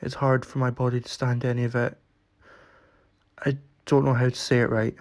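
An actor playing an anxious, apologetic, socially awkward young person, speaking haltingly in a short-story reading. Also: mid-range voice, level tone, a little mellow, lightly acted. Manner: hesitant, halting.

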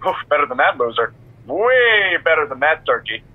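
An actor playing a cocky, goofy wrestler who co-hosts a podcast in a video game, boastful and rambling with a husky voice. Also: male voice, on the high side, sing-song, moderate pace, no echo, phone-quality sound. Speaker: podcast co-host. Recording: close, dry radio-like phone sound.

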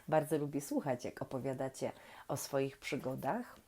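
A woman who hosts and reads stories for young children, gently and playfully, asking questions and leaving expressive pauses. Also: a bass voice, slightly rough. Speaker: children's story reader and host. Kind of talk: reading aloud to young children, talking to the listeners.

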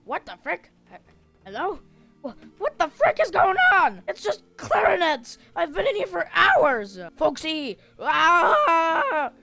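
A woman's voice: high-pitched, slow, creaky, pushed and bright, faint music behind, pitched above the performer's own voice, exaggerated voice. A woman voicing a panicky, bewildered pirate fox in a comedic cartoon skit, with frantic exclamations and pirate-like interjections. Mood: panicked, exasperated.

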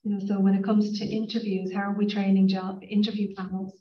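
A woman's voice: medium pitch, flat delivery, a little fast, a little hiss or hum. A woman with a Dublin accent speaking on a university panel, earnest and full of "you know" fillers. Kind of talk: panel discussion. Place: conference room, indoors. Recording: echoey room mic from far off, dull sound.

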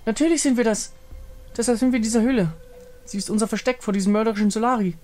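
A man's voice: high voice, quick pace, darkish tone, pitched above the performer's own voice, lightly acted. A man voicing a devout, hopeful follower of a moon goddess in an earnest, reverent way.

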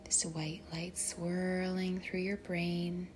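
A woman leading a guided meditation in a soft, breathy, whispery voice, speaking gently and deliberately with long pauses between short phrases. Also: lowish voice, level tone, a little hiss or hum. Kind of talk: guided meditation, slow and soothing narration.

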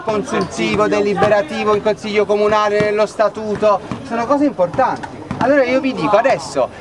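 A man in his thirties speaking earnestly to a crowd at an outdoor night meeting in a city square, often asking rhetorical questions, recorded by a camera at a distance. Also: sits high. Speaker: activist at a public meeting. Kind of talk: impassioned speech to a crowd. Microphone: far camera.

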